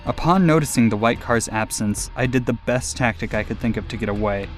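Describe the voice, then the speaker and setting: A man's voice: medium pitch, brisk, soft background music. A man narrating a personal scary story as a scripted dramatic reading.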